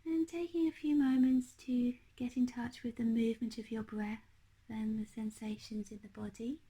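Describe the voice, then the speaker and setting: A woman leading a guided meditation as a teacher, speaking in a gentle, unhurried, hushed manner. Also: a little high, level tone, husky, low-quality recording.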